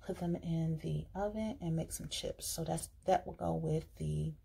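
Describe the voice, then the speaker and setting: A woman talks through her plans at an indoor table in a casual vlog, chatty and full of fillers and restarts. Her voice is very husky with a gravelly fry, mellow and dark. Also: low-pitched, normal speed. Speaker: vlogger. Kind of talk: casual vlog, planning meals while writing.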